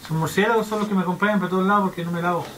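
A man's voice: sits high, fairly even intonation, rapid-fire, a bit bright. Playful male gamer, noisy recording. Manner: playful, joking. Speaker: gaming video host. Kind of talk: casual let's-play commentary.

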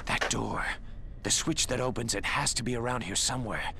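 An actor playing a brooding, desperate young warrior prince in a video game, with intense, dramatic delivery. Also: male voice, medium pitch, moderate pace, creaky, noisy background, close to an everyday voice. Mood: brooding, hushed.

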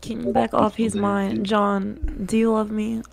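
A woman's voice: medium pitch, little pitch movement, measured pace, creaky. A woman talking in slangy, crude, exaggeratedly gushing banter on a group voice call, flirting as bait. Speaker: decoy in an online predator sting.

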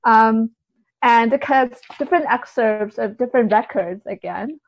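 Higher-voiced woman, dull lo-fi sound. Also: a little slow, dry recording. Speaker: guest, translator and poet. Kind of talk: casual podcast interview answers.

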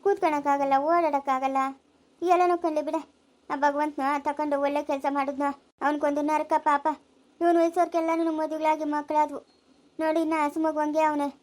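A woman's voice: sits high, little pitch movement, a little fast, darkish tone, natural voice. A woman playing an elderly village neighbour in a drama, consoling in a blunt, fatalistic way with a rural southern Karnataka Kannada accent. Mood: consoling, resigned.

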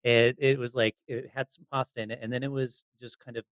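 A man's voice: medium pitch, little pitch movement, a little fast, no room sound. A man hosting a podcast, chatting casually as he interviews a guest. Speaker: podcast host.

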